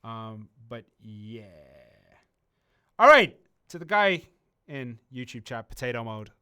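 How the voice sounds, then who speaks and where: A man chatting casually on a game livestream, explaining things loosely with plenty of ums and false starts. His voice is bright and smooth, sounding clear and even. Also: medium pitch, takes it slowly. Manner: relaxed, rambling explanations with many ums and restarts. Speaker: community manager on a game stream. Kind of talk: casual livestream chat answering viewer questions.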